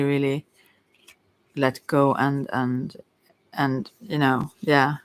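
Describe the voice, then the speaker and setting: A tarot card reader talking informally to listeners in a halting, rambling way, often stumbling over words. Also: mid-range voice, no room sound.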